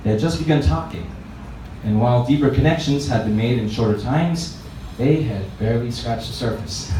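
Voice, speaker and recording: Guy reading a novel out loud, soft mellow tone, distant mic, roomy echo. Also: mid-range voice, moderate pace. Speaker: narrator, book reader. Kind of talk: expressive story narration read aloud. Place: reverberant room at a live reading event. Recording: echoey room, distant mic, faint noise.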